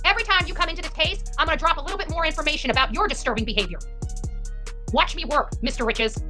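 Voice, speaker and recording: High-pitched, combative woman ranting over music. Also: fast, pushed and bright. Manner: combative, emphatic, repetitive. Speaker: host of a true-crime online channel. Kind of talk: impassioned rant to camera.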